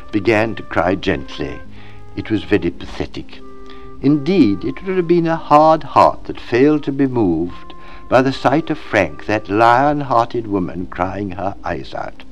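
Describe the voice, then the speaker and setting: Male literary narrator, mid-pitched, animated and expressive delivery, measured dramatic phrasing. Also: soft background music. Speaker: audiobook reader. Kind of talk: scripted literary narration, expressive storybook reading.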